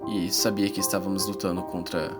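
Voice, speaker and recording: A man's voice: low-pitched, little pitch movement, a little fast, lightly acted. A man playing an earnest young adventurer, narrating his perils in a dramatic, suspenseful first-person audiobook style. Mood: wary, reflective. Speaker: narrator and protagonist. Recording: loud music bed under voice. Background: music.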